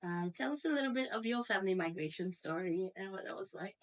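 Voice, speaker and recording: Woman hosting a panel chat, medium pitch, asking guests questions. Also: expressive, fast. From born outside the US. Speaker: guest host of a workplace panel. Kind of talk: moderated panel conversation.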